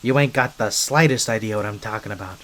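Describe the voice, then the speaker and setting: A man's voice: lowish voice, fast talker, fairly bright tone, close to an everyday voice. A man voicing a scrappy, wary mafia henchman rat who talks in chatty gangster slang, in an ASMR roleplay. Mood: strained, pleading. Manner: streetwise gangster slang, chatty, hesitant asides.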